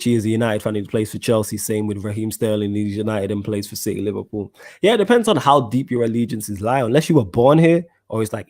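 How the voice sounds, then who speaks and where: Mancunian male podcast host, young, low-pitched, slangy and chatty with viewers. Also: darkish tone. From Manchester, England. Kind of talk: casual solo football podcast chat.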